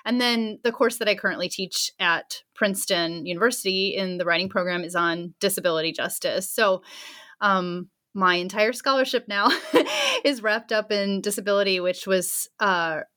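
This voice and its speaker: A woman in her thirties, an anthropologist speaking as a guest in an interview, thoughtful and warm, with frequent soft laughs and fillers. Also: mid-range voice, lively intonation, moderate pace. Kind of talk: interview answers.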